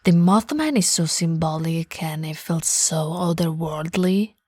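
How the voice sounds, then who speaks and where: Woman acting a slow, teasing nerdy monster girlfriend. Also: deep voice, warm tone, no echo, clean studio sound. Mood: nerdy, playful. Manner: playful, teasing, often dropping into breathy whispers. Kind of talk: intimate roleplay audio acting.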